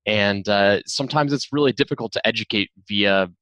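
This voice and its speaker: Guy hosting a marketing podcast, lowish voice, dry mic, earnest and agreeable. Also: a little fast, bright, forceful tone, no echo. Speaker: podcast host. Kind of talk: interview questions and commentary.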